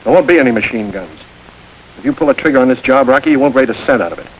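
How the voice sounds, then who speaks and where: An actor playing a smooth, calculating middle-aged gang boss who gives orders in a calm, measured way, in 1930s crime film style. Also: male voice, mid-range voice, dull audio, slight background noise, close to an everyday voice.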